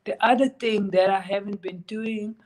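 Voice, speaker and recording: A woman's voice: medium pitch, fairly even intonation, moderate pace, darkish tone. A woman with a South African accent, recovering from illness and giving earnest, repeated, pleading advice as an interview guest. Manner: urgent, pleading advice with repetition. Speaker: guest sharing her recovery story. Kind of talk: earnest personal testimony.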